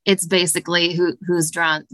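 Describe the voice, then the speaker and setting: Woman, lower pitch, bright tone, dry studio sound, careful explanatory talk. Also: level tone, dry recording. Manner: measured, explanatory, frequent "um" fillers. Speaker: studio director. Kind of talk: podcast interview answers.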